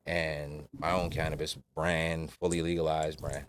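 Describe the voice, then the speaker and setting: Very deep-voiced laid-back man. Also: flat delivery, a little slow, slight background noise. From Shinnecock, Long Island. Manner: laid-back, easy laughs, says "you know what I'm saying". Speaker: guest, cannabis grower. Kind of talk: casual podcast chat.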